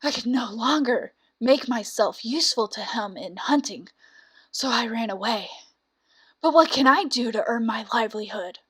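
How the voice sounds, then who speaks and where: A woman voicing a weak, weary old hunting dog in a storybook, with a breathy delivery. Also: on the high side, expressive, moderate pace, a bit bright, dry recording. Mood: weary, despairing.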